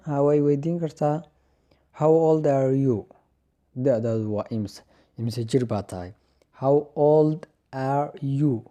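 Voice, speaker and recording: A young man with a Somali accent teaching an English lesson. He reads each English line slowly and a bit louder, then gives the translation in a quieter voice. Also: medium pitch. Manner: reads English lines slow and raised, then explains quietly. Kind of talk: language lesson, reading sample dialogues and translating.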